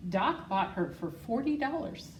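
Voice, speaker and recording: Female storybook reader, unhurried and deliberate, roomy sound with faint background noise. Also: fairly high pitch, very animated, distant mic. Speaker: school secretary, guest storybook reader. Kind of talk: reading a children's picture book aloud.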